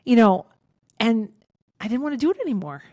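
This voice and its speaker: A woman in her fifties, a podcast guest, talking candidly in an outspoken, emphatic way with a loud voice, swearing freely. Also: on the high side, big pitch swings, a little fast, no echo, highs cut off. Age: 50s. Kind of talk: candid casual conversation.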